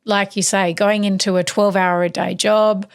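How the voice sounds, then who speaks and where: A woman's voice: medium pitch, level tone, moderate pace, clean tone. A woman hosting a podcast, talking in a casual, informal interview style and repeating words for emphasis. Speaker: podcast host.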